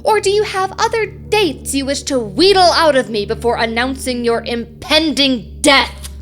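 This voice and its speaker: An actress in an audio drama playing a proud, exacting supervisor with precise, stiffly formal and sarcastic speech. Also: female voice, sits high, normal speed, a bit bright, soft background music. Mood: icy sarcasm.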